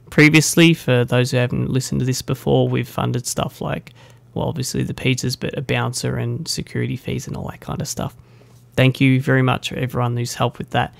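Chatty young guy, gravelly. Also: mid-range voice, no echo. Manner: chatty and self-deprecating, with fillers. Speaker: meetup organiser. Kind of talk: casual vlog announcement.

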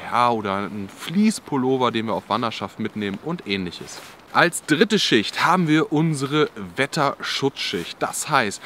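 A man's voice: medium pitch, a little hiss or hum. A young man explaining outdoor clothing layers to the camera in a drizzly forest, in a casual, explanatory way. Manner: explanatory, lively, casual with filler "ja". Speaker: outdoor channel host.

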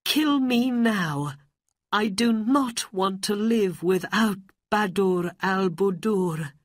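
A woman's voice: mid-range voice, wildly swooping pitch, extremely slow, a bit bright, breathy edge, dry recording, clean studio sound, pitched above the performer's own voice, exaggerated voice. A woman voicing a humble, lovelorn young man in a storybook, plaintive and pleading. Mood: despairing.

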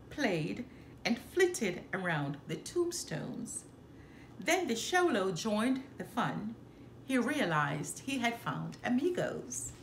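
A middle-aged woman reading a children's storybook aloud with careful, expressive phrasing, as a storyteller. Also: medium pitch. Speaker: storyteller, channel host. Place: indoor living room by a fireplace. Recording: roomy echo, some background noise.

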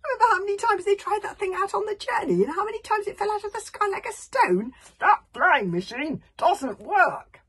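A woman voicing an excitable, fretful young Viking boy who talks with exasperated insistence. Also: high voice, a little fast, pitched above the performer's own voice, heavily acted. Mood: alarmed.

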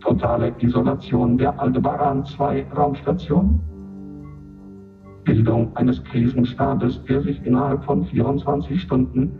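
An actor playing an impersonal official who reads out formal reports through a radio-like filter in a radio drama. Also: male voice, medium pitch, level tone, measured pace. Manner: formal, clipped, matter-of-fact announcements. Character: impersonal official voice reading out reports and roll call. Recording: tinny narrow band, distant, music behind.

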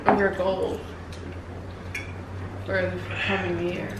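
A woman's voice: lowish voice, little pitch movement. Young woman, teasing dinner-table banter, distant mic, cutlery noise. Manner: opinionated, teasing, quick asides. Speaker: family member. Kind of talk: casual family dinner chat. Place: dining room at home. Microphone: far camera across table. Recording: echoey room, distant mic, table clatter.

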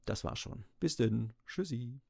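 A man's voice: mid-range voice, animated, a little slow, creaky, darkish tone, no echo, studio quality. A man speaking a casual congratulatory message directly to a friend.

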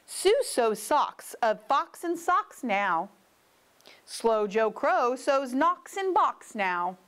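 A woman reads a rhyming picture book aloud for children. Her voice is fairly high and very animated, and she takes the words at a slow pace. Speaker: story reader.

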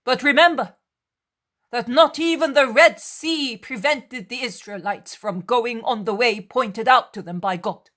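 A woman acts out a righteous male prophet in an audiobook story. The voice is raised high and the words come slowly, each phrase declaimed with stern, commanding emphasis. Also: fairly bright tone. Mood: stern, resolute.

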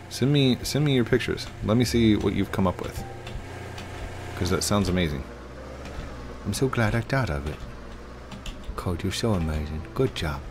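A middle-aged American man giving casual, playful gameplay commentary, rambling to himself and his viewers. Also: fairly low pitch. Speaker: gaming video host. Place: indoors at a desk, webcam stream setup.

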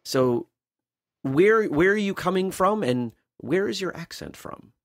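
A man's voice: sits high, animated, unhurried, dry recording. Chatty male podcast host, dry sound. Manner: friendly, chatty, repeats words when starting sentences. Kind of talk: casual interview.

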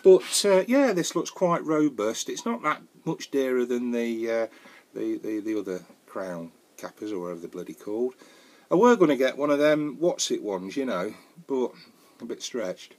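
A man's voice: medium pitch, animated, moderate pace, a little mellow. An older man in his sixties with a Northern English accent, chatting casually in a jokey, rambling way with fillers and mild swearing, filmed on an action camera in his wooden garden shed. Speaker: home brewing vlogger. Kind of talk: casual chatty product review. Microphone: action camera close up.

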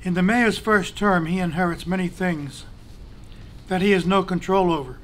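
A man's voice: sits high, a little hiss or hum. A man reading a prepared, pointed statement at a council meeting in a large chamber, into a desk microphone. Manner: measured, pointed criticism, formal. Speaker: council president.

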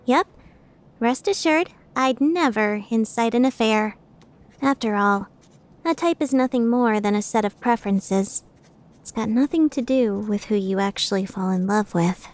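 A woman's voice: fairly high pitch, unhurried, close to an everyday voice. A woman playing a prim, serious teenage girl from a school discipline committee in an anime fandub. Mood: calm, earnest. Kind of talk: anime fandub radio play acting.

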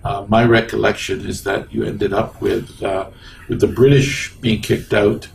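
A man's voice: on the low side, little pitch movement, unhurried, gravelly, distant mic, slight background noise, low-quality recording. A man in his sixties, born in Egypt with Arabic as his first language, recalling his past hesitantly with many ums in a small office interview. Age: 60s. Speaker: interviewee, engineering professor. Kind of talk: oral history interview. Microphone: lapel mic.

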